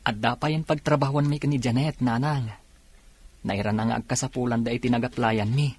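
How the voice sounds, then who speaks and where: A man's voice: medium pitch, steady tone, a little fast, a little mellow, slightly rough. A man playing a humble, good-natured eldest son, a young new policeman, in a radio drama with a Filipino Ilocano accent. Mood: earnest. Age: young adult.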